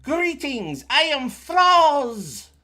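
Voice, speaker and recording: A man's voice: very high voice, very animated, drawn-out words, sharp tone, voice pushed up, heavily acted. A man voicing a formal, eager bird creature welcoming strangers in a read-along.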